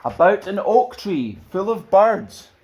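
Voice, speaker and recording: Animated man reading a children's story. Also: high-pitched, slow, lo-fi sound. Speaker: storyteller and channel host. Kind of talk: expressive children's picture book reading.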